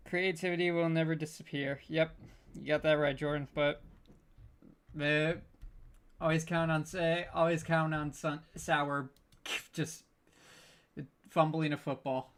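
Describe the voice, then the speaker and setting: Bright-voiced man, halting and stammering. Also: fairly high pitch, flat delivery, smooth. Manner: hesitant, stammering, stop-start delivery; apologetic, self-deprecating. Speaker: panel host, audio drama producer. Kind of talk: casual, unscripted panel talk.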